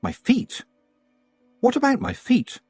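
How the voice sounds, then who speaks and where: A man's voice: very high voice, huge pitch swings, slow, a little mellow, breathy edge, no room sound, faint music behind, pitched above the performer's own voice, heavily acted. A man voicing an earnest, indignant young girl witch.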